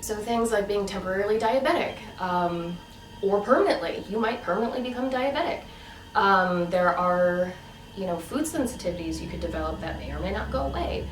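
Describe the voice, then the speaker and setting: A woman's voice: mid-range voice, lively intonation, brisk, reverberant, distant mic, slight background noise, low-quality recording. A woman in her thirties talking earnestly and emphatically in a casual monologue, with many fillers. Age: 30s. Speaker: vlogger.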